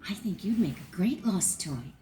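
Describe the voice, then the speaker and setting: An actress voicing a confident porcelain woman toy who leads the others and talks briskly. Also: mid-range voice, expressive, dark tone, soft background music, lightly acted.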